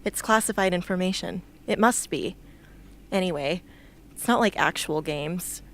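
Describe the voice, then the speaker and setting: A woman's voice: lowish voice, unhurried, a bit bright. A woman playing a determined, defiant teenage girl soldier in an amateur radio drama. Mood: resolute. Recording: faint background noise and ambience.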